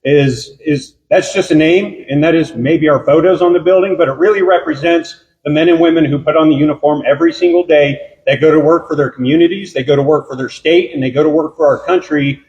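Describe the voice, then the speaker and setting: A loud-voiced law officer speaks at a ceremony in his honor, halting and earnest. His voice sits fairly high for a man. The sound comes through a dull live feed. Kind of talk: ceremony speech.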